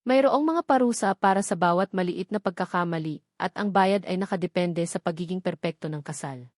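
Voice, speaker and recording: A woman's voice: fairly low pitch, a little fast, darkish tone, no echo. Woman narrating a story, dry close sound. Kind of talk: scripted narration of a Tagalog romance story.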